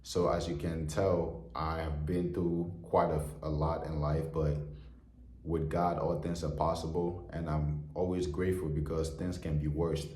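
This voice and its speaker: A man's voice: rumbling low, level tone, unhurried, darkish tone. A man in his twenties giving an earnest motivational heart-to-heart to camera, full of "you know" fillers. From Nigeria. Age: 20s. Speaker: vlogger. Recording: echoey room.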